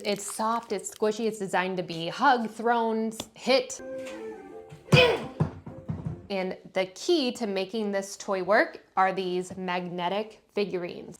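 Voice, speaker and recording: A woman's voice: medium pitch, slight background noise. A woman giving a casual, conversational product review as a parent talking to viewers. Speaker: parent reviewing kids' toys.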